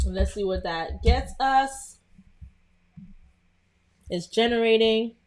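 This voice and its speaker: A woman's voice: on the high side, slow. Chatty Brooklyn woman on a livestream. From Brooklyn, New York. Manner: chatty, many fillers, greets viewers. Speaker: livestream host. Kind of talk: casual live tutorial chat.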